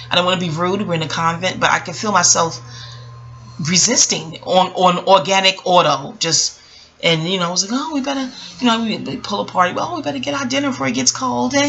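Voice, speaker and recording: A woman speaking casually and reflectively about her own experience, rambling with emphatic pauses and frequent self-corrections. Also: fairly low pitch, a bit bright, muffled recording. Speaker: vlogger. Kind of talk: casual reflective personal storytelling.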